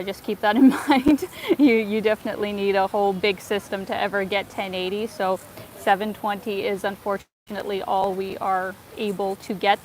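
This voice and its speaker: A woman from North America hosts a casual travel livestream, filmed on a phone as she walks through a city square and chats with her viewers, with fillers and soft laughs. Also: medium pitch, a little hiss or hum, poor audio. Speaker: travel vlogger livestream host. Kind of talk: casual livestream chat while walking. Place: outdoors in a city square and park. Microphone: phone held while walking.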